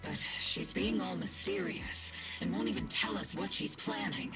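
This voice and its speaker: A woman's voice: normal speed, loud music behind the voice, lightly acted. A woman voicing a laid-back soldier comrade in an anime game. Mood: worried.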